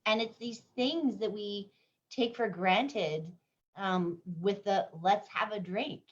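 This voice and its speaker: A woman's voice: medium pitch, slow pace, highs cut off. A woman host from Canada asking questions and responding in an affirming, thoughtful way. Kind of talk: casual interview.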